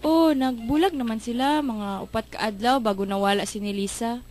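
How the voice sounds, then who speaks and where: A woman's voice: a little high, fairly quick, a little mellow, close to an everyday voice. A woman with a Filipino accent playing an obsessive, possessive young woman in a melodramatic radio drama. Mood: guarded, casual. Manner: intense, swinging between casual and unhinged. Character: obsessive, possessive young woman hiding deadly jealous love. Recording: music under the voice.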